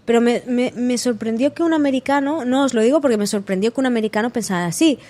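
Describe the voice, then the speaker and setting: A woman's voice: a little high, quick pace. A woman from Catalonia with a Spanish accent, a singer chatting as a podcast guest, telling stories animatedly and acting out what people said, with frequent restarts. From Catalonia, Spain. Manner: lively storyteller, acts out quotes, frequent restarts and laughter. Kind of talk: casual interview chat.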